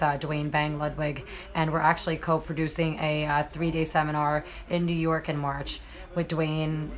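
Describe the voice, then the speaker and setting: A slightly hoarse woman hosting a casual live stream about fights, chatting to viewers with lots of fillers. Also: sits low. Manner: chatty, many fillers, addresses viewers directly. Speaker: MMA journalist and live-stream host.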